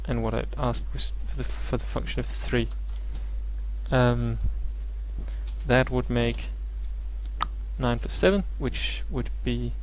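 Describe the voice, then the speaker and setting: A young man with Danish as his first language explains maths in a loose, unscripted live stream. His voice sits at an ordinary middle pitch. He hesitates a lot, fills gaps with "um" and "yeah", and often restarts his sentences. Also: fairly even intonation, slow, long pauses, a little hiss or hum, rough recording. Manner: hesitant, many fillers and restarts. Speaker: maths tutor and host. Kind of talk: casual live tutorial.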